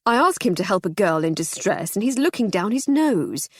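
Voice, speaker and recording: Down-to-earth police inspector, lowish voice, exasperated edge, dry sound, read by a woman. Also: animated, normal speed, creaky, a little mellow, dry recording. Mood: indignant.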